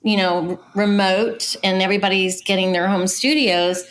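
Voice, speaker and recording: A woman's voice: mid-range voice, normal speed, fairly bright tone, rough recording. A middle-aged woman talking casually and conversationally as a guest casting director in an interview.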